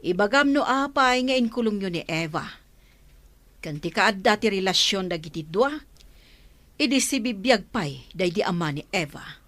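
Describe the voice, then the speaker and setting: A woman's voice: medium pitch, expressive, normal speed, a bit bright, dry recording, natural voice. A woman acting a stern, protective aunt in an audio drama, with a firm, commanding manner. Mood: firm, instructing. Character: stern, protective aunt taking charge against wrongdoers. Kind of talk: Ilocano radio drama acting.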